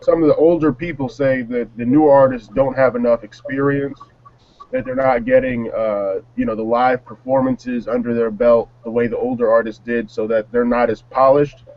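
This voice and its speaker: A man in a casual panel discussion, talking in a measured, reflective way. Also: mid-range voice, lively intonation, highs cut off. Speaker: panelist on a music talk show.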